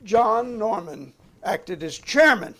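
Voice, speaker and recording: A man's voice: high voice, lively intonation, slow, a bit bright, exaggerated voice. A man playing a formal, insistent committee counsel questioning a witness in a dramatic reading of a 1950s hearing. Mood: prosecutorial, formal.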